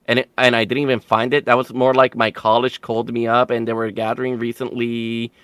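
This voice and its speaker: A man's voice: medium pitch, level tone, brisk, a bit bright, clear, resonant tone, no echo, studio quality. A young man from Puerto Rico talking casually as an interview guest, rambling and self-deprecating, with the odd swear word. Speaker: streamer guest.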